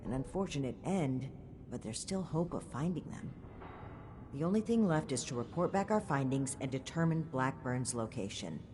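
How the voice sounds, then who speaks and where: A woman playing an earnest, principled military officer in a video game, speaking formally and deliberately. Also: a bass voice, lively intonation. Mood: grave, resolute. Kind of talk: scripted game dialogue. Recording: clear background noise, game ambience.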